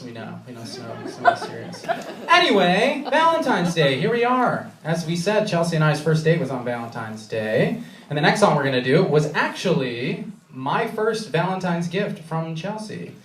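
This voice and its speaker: A man's voice: fairly high pitch, animated, moderate pace, fairly bright tone, slight background noise. An American man from Rochester, New York, chatting casually and jokingly as a cabaret host on stage. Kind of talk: casual stage banter between songs. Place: indoor cabaret theatre stage. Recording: echoey live venue, distant camera mic, music.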